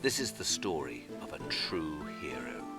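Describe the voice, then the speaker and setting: A man playing a solemn, wise old storytelling spirit in a fantasy game fan dub, speaking formally and gravely. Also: very deep voice, very animated, measured pace, exaggerated voice. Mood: solemn narrating. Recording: loud music under the voice. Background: music.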